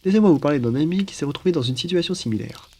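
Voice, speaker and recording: A man playing a hospitable, wise phoenix in a French roleplay, speaking in a calm, reassuring and attentive way. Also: on the high side, rapid-fire, husky. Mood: welcoming, reassuring. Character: wise hospitable male phoenix welcoming travelers into his cave. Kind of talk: French roleplay ASMR storytelling.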